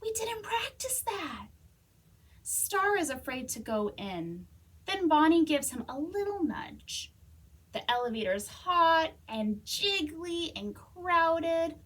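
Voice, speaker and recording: A woman reading a children's story aloud as a storytime reader, expressively, with dramatic pauses and whispers. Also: high voice, sing-song, fairly bright tone. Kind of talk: animated children's read-aloud.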